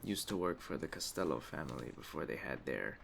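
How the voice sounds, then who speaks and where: A mafia Don, played by a man, speaks very low with a heavy gravelly creak. He is a courteous, measured crime boss with quiet menace, talking straight to the listener in an ASMR roleplay. Storm ambience runs faintly behind him. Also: lively intonation, slight background noise, exaggerated voice. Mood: calm, quietly menacing.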